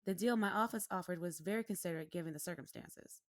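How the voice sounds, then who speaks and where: A man doing a brisk, dismissive woman prosecutor. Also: high-pitched, no room sound, studio quality, voice pushed up. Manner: brisk and condescending. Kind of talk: audio drama acting.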